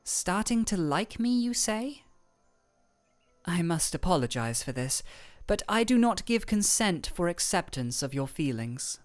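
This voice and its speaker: Woman acting as a low-voiced, aloof ice royal. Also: soft background music. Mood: cool, matter-of-fact. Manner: formal, measured, deadpan speech. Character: aloof, regal ice elemental royal, cool and reserved. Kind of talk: scripted ASMR fantasy audio roleplay.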